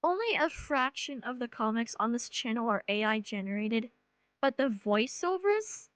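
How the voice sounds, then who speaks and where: A woman's voice: fairly high pitch, animated, normal speed, a bit bright, dry recording. A woman delivering rant commentary in a sarcastic, blunt way with swearing. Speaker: video host, commentary creator.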